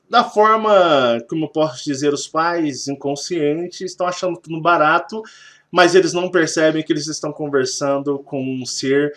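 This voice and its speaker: A Brazilian man with a Brazilian Portuguese accent, hosting a spiritual channel and explaining in a rambling way, repeating himself and using many fillers. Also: on the high side.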